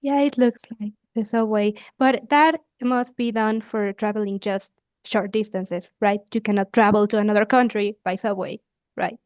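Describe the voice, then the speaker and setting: A woman's voice: medium pitch, steady tone, moderate pace, darkish tone, no room sound, studio quality. A young woman from Mexico with a Mexican accent, a student talking chattily with some hesitations in a speaking exam. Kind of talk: exam conversation.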